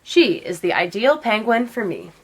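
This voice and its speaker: A woman's voice: on the high side, big pitch swings, moderate pace, slightly rough. A woman voicing an earnest, lovestruck young penguin prince in a storybook. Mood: smitten.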